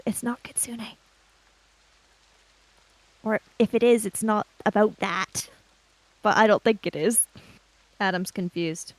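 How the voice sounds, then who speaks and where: A woman playing in a tabletop role-playing game, chatting casually and narrating her character, sometimes haltingly. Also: medium pitch, no room sound, rough recording. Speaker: tabletop role-play player.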